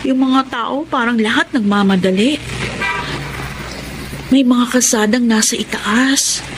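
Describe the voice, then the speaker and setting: A woman's voice: fairly high pitch, unhurried, background noise, close to an everyday voice. A woman playing an earnest, hopeful young student from the province in a Filipino radio drama. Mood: awed, anxious.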